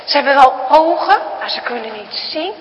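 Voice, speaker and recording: A woman's voice: high voice, lively intonation, normal speed, big-room sound, lo-fi sound, a little hiss or hum. A woman voicing a frightened but steadfast young girl who speaks earnestly and pleadingly.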